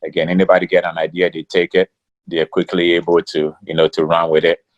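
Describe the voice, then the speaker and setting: Deep-voiced middle-aged guy from ghana, laid back, lots of "you know". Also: a little fast, lo-fi sound. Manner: relaxed, many fillers like "you know". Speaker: interview guest. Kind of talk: casual interview talk.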